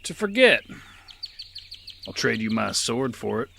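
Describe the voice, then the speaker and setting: A man's voice: mid-range voice, very animated, a little slow, creaky, sharp tone, a little hiss or hum, heavily acted. A man narrating and playing a rugged, weary gunslinger in an audio drama, with a dry, terse delivery. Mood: steady. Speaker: narrator and lead.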